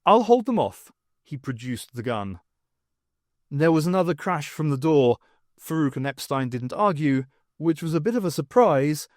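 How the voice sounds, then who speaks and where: A man's voice: medium pitch, a little mellow, dry recording, clean studio sound. A man reading an audiobook as narrator, in a scripted storytelling style.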